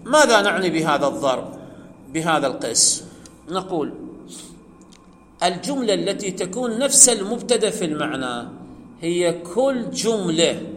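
High-voiced man teaching in an echoey hall. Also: fairly bright tone, slight background noise. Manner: explains step by step, asks the class questions; chants quoted verses slowly. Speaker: grammar teacher. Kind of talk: classroom lecture on grammar. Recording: echoey hall with faint ambience.

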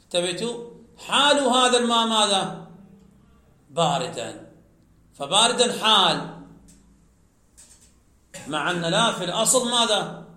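Slow, high-voiced male grammar teacher. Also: sing-song, pushed and bright, echoey room. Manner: slow, explanatory, asks the class questions then answers them. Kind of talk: classroom lecture.

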